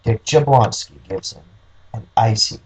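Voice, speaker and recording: A man's voice: medium pitch, measured pace, a little mellow, a little hiss or hum, poor audio. A man reading scripted noir detective story narration as the narrator.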